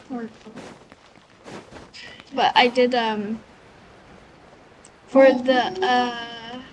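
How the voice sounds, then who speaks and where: A woman with a fairly high voice talks casually to her gaming audience. Her delivery is halting and hesitant. The recording has obvious background noise. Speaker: gaming video creator. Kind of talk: casual chat to viewers.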